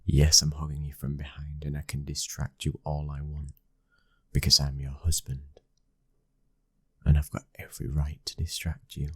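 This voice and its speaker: A man plays a British mafia boss's son in an intimate audio roleplay. His voice sits extremely low, with a husky grain and little melodic movement. He is a commanding, stern figure and a loving husband. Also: completely flat delivery, moderate pace, a bit bright, full character voice. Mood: tender, teasing. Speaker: ASMR roleplay voice actor. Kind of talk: intimate ASMR boyfriend roleplay acting.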